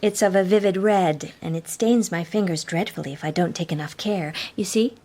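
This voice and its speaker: Actress as coy, husky young lady detective. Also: fairly low pitch, moderate pace, mellow, natural voice. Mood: arch, coy. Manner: arch, playful, genteel. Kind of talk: period detective radio drama acting.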